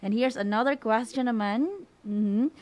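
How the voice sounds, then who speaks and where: A woman with a Visayan Filipino accent hosts in a fairly high voice. She reads out listener messages and questions in a radio-programme style. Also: moderate pace, darkish tone. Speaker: host.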